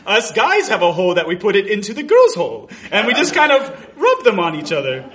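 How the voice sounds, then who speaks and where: Man doing a high, bossy, dim dodo. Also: huge pitch swings, brisk, a bit bright, full character voice. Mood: smug, clueless. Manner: exaggerated, repetitive delivery, breaking into laughter. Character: bossy, dim male dodo brainstorming survival ideas. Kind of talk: comedy sketch reading, like a radio play.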